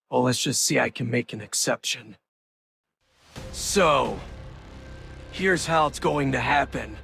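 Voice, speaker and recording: An actor playing a vengeful, menacing superhero in a comic-book drama, speaking with deliberate, measured pauses and a gravelly edge. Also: male voice, fairly high pitch, sharp tone, heavily acted. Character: vengeful, menacing male superhero threatening his enemy. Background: music.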